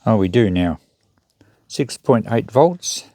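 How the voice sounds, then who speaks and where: A man's voice: on the low side, animated, slow pace, mellow, no room sound, clean studio sound. A man giving casual, unscripted commentary as he thinks aloud through a hands-on repair job. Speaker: host of a repair channel.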